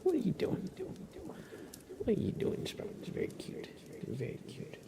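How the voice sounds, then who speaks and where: A man hosting an ASMR livestream, chatting softly and playfully in a breathy, often whispered voice, repeating short phrases as if to a pet. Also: high-pitched, wildly swooping pitch, moderate pace, very noisy. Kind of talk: ASMR, casual chat with a pet and viewers.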